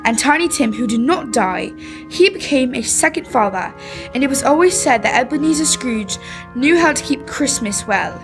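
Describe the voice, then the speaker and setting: A woman's voice: high-pitched, animated, normal speed, over music. A young woman narrating a classic story in scripted old-time radio drama style. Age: teenager.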